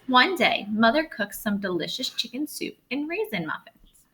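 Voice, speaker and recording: A woman teacher reading a storybook aloud to students in an expressive, instructive way. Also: a little high, moderate pace.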